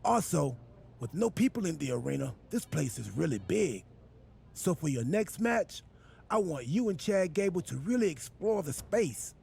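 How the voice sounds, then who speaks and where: An actor playing a quirky, self-important general manager in a wrestling video game, rambling and absurdly literal in a gravelly voice. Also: male voice, very animated, moderate pace, a little mellow, full character voice. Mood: upbeat, self-important. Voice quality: gravelly, high husky voice.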